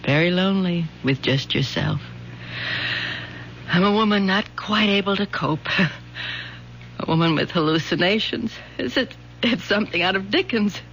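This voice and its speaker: A woman's voice: mid-range voice, animated, moderate pace, vocal fry, pauses often, fairly bright tone. An actress in a 1970s radio drama playing a lonely, bitter, fragile middle-aged mother with an anguished, theatrical delivery. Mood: lonely, brittle. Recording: dull, hissy old radio broadcast.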